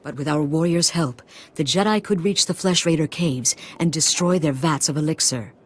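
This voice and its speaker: An actor playing a humble, solemn village healer-priest in a video game, formal, courteous and ominous. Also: male voice, fairly high pitch, moderate pace, fairly bright tone. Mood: solemn urgency. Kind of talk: video game dialogue acting.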